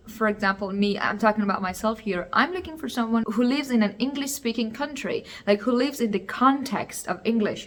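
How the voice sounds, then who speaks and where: Young woman, Iranian accent, Farsi native speaker, slightly high voice, casual vlog advice, chatty with fillers and restarts. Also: a bit bright. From Iran. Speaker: vlogger. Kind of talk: casual advice talk to camera.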